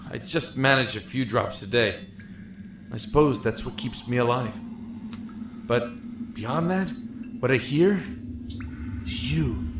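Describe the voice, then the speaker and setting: A man playing a despairing, regretful prisoner in a dark cell, speaking haltingly and reflectively in a dramatic audio monologue. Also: mid-range voice, lightly acted. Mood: numb, weary. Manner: halting, reflective, emotive delivery. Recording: echoey, with music underneath.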